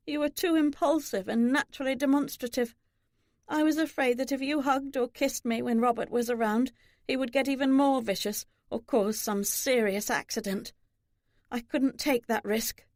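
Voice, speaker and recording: A woman's voice: high voice, fairly even intonation, moderate pace, a little mellow, no echo, clean studio sound, voice pushed up. A woman voicing a loving, guarded older mother in an audiobook novel, speaking earnestly. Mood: earnest, regretful.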